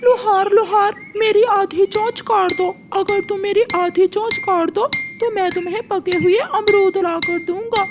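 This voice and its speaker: A woman's voice: very high-pitched, fairly even intonation, a little slow, few pauses, background noise. A woman voicing a hurt, sulky young male parrot with a whiny, pleading manner in a children's cartoon. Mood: insistent. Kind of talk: Hindi children's cartoon dialogue.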